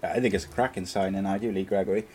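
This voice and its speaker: Man, slightly low voice, casual chatty host, relaxed football talk. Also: fast talker, slight background noise. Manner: laid-back, chatty, calls co-host mate. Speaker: show host. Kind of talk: casual football chat show.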